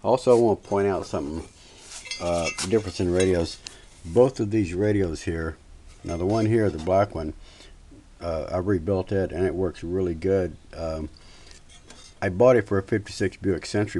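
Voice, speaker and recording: A man's voice: low voice, warm tone. An older man giving a casual, unscripted hobby tutorial in a folksy, plain-spoken, instructional way. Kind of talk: casual unscripted how-to demonstration. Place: cluttered indoor home workbench. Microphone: handheld camera's built-in microphone. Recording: noisy amateur camera audio with handling clicks.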